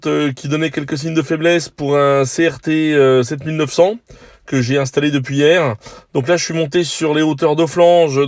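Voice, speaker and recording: Slightly high-voiced man, dry and close. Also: no room sound. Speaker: amateur CB radio operator. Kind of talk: casual two-way radio chat. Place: on a hilltop in the countryside.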